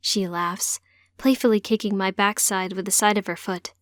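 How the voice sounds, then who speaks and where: A woman's voice: mid-range voice, steady tone, normal speed, fairly bright tone, no echo. A woman reading scripted first-person fiction as an audiobook narrator.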